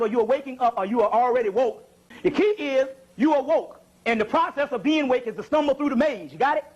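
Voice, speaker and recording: A man with a strikingly high-pitched voice lectures with passion in an informal, colloquial way. He addresses listeners directly, like a street-level spiritual teacher, and keeps checking that they follow. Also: low-quality recording. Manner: colloquial, punctuated with rhetorical check-in questions.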